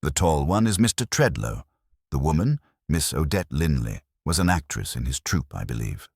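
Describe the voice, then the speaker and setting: A man's voice: a bass voice, sing-song, normal speed, gravelly, a little mellow, husky, dry recording, clean studio sound, full character voice. A man voicing a nervous, polite young publishing clerk in an audiobook, hesitant and deferential. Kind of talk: audiobook character dialogue.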